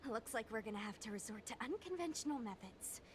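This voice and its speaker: A woman's voice: on the high side, animated, moderate pace, noisy background, natural voice. A woman playing a brash, cheeky young female knight-healer who gives commands in a fantasy video game. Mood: bossy, impatient. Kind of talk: animated video game voice acting. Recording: game music behind.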